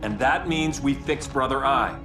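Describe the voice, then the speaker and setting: An actor playing a resolute, powerful superhero leader in a video game, firm and authoritative. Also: male voice, mid-range voice, unhurried, lightly acted. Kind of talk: cinematic video game acting. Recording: echoey, loud music behind.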